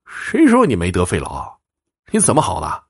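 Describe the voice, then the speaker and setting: A man acts out a sly male swindler posing as a fortune-teller in an old folk tale. He cajoles with self-important assurance in a deep, rough voice, recorded close and dry. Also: huge pitch swings, normal speed, dry recording, full character voice. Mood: puzzled.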